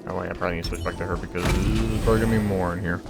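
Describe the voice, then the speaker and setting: A man with a lowish voice commentates casually over a video game. He is blunt and irreverent, answering the game back. Game music plays clearly behind him. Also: fairly even intonation, racing. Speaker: gameplay streamer. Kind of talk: casual let's-play commentary.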